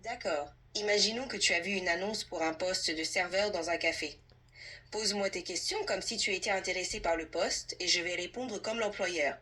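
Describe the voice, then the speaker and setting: A woman's synthetic assistant voice, husky, speaking fluently and politely as a tutor in a conversational role-play. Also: fairly low pitch, normal speed, bright, forceful tone. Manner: polite, fluent, helpful, orderly. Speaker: AI language tutor playing an employer.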